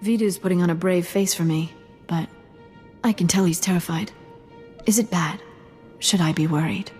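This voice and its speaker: Game supporting role female actor: soft, mellow, lowish voice, ingratiating and worried, in a reverberant space. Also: moderate pace, close to an everyday voice. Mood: friendly, worried. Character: friendly, anxious woman working for an underworld boss. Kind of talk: video game character dialogue. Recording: reverberant hall sound under music.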